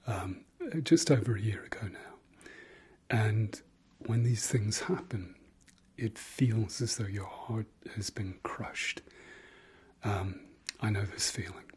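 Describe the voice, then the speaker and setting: Whispering man, very breathy and slow. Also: fairly low pitch. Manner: soft, gentle, hesitant pauses with trailing repeats. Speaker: guided meditation host. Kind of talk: whispered ASMR guided meditation.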